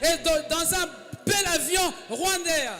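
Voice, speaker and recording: A man interpreting a sermon live, matching the preacher's intensity and shouting along. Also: very high voice, slow, a loud crowd. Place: church hall. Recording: echoey hall with music.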